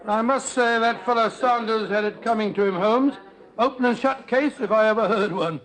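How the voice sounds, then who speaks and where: An actor playing a genial, avuncular elderly British doctor who chats and reminisces in 1940s radio drama style. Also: male voice, high voice, normal speed, a bit bright, low-quality recording. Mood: opinionated. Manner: avuncular, old-fashioned, chatty. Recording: vintage 1940s radio recording.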